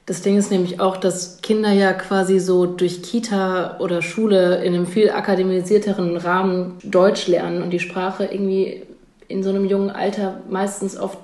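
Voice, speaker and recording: A woman's voice: medium pitch, level tone, normal speed, a little mellow. A woman co-hosting a podcast, talking reflectively and posing questions, with casual fillers. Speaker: podcast co-host. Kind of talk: scripted podcast narration. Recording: roomy sound with faint music.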